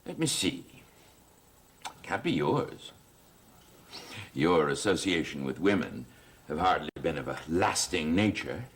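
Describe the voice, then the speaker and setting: A man's voice: rumbling low, very animated, takes it slowly, pauses often, full character voice. An actor playing a suave, cruel stepfather in a 1970s TV soap opera, sardonic and mocking. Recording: music score behind voice.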